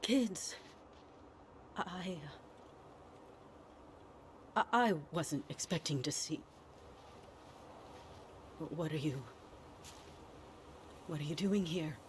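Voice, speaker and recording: Low-pitched, uneasy, halting, naturalistic game dialogue, faint background noise. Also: female voice, lively intonation, heavily acted. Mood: flustered. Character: startled, halting, uneasy woman. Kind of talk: naturalistic video game acting.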